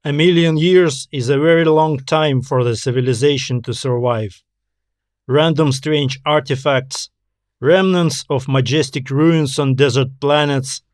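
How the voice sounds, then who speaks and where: A man's voice: mid-range voice, dry recording, studio quality. A man reading a scripted science fiction story as an audiobook narrator.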